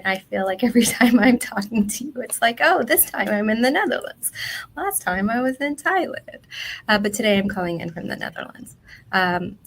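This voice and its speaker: A woman's voice: medium pitch, creaky, fairly bright tone, low-quality recording. A woman with an American accent telling her story conversationally as an interview guest on a remote call. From born in Vietnam, grew up in USA from infancy. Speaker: education company leader, interview guest. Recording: faint background noise, remote call.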